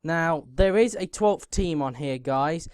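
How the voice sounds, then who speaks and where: A young man with a sore throat and a croaky voice, hosting his own channel and chatting casually to viewers. He keeps clearing his throat and fills pauses with ums. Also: fairly high pitch, a little mellow, no room sound, studio quality. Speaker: YouTube racing channel host.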